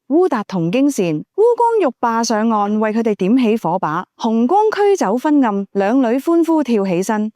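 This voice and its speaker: A Cantonese-speaking woman reads a novel aloud as an audiobook narrator. Her voice sits somewhat high and moves through a wide, animated range of pitch. The sound is very dry, with hardly any room to it. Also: dry recording.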